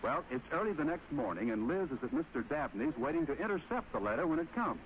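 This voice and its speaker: A man's voice: fairly high pitch, sing-song, fast talker, a little hiss or hum. A man narrating a scripted radio drama recap, in a dull recording with music behind him.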